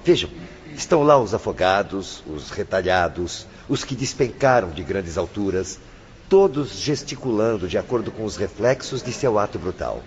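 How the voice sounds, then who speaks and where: Man acting low-voiced, animated pious attendant. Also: normal speed, close to an everyday voice. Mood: wry, observing. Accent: Brazilian. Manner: formal, declamatory, preaching. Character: pious, courteous male attendant of a spirit hospital. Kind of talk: radio drama acting. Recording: dull lo-fi radio recording, faint noise.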